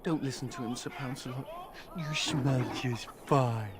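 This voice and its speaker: An actor playing a sardonic escaped mage in a video game, glib and self-amused with a bitter edge. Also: male voice, mid-range voice, steady tone, measured pace, crowd noise, lightly acted. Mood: fond, teasing. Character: wry male mage and escaped prisoner, playful yet bitter. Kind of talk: video game character dialogue.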